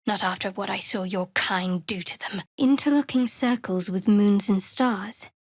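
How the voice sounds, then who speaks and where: A woman playing a guarded, sardonic young cleric in a fantasy video game, speaking in a measured, dry, wry way. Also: medium pitch, no echo, lightly acted. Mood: guarded, earnest.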